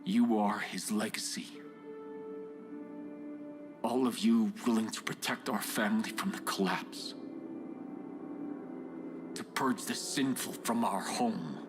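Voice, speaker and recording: An actor playing a solemn, fervent cult leader in a game, preaching to his followers in a measured way. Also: lowish voice. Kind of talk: video game cutscene sermon. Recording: music behind the voice.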